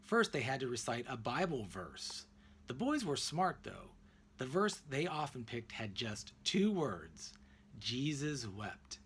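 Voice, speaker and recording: A man reading a children's picture book aloud expressively, in deliberate phrases with emphatic pauses. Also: mid-range voice, sing-song, fairly bright tone, slight background noise. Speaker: read-aloud narrator.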